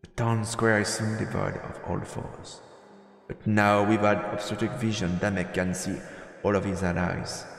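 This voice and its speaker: Low gravelly guy reading out a story game dramatically, measured pauses. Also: flat delivery. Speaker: let's play streamer narrating. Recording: echoey, long reverb, music behind. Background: background music.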